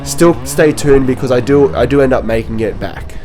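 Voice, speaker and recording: A man with a slightly hoarse, worn voice casually talks through footage of his kart race, rambling with lots of ums and restarts. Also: mid-range voice, moderate pace, background noise. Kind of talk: casual commentary over race video.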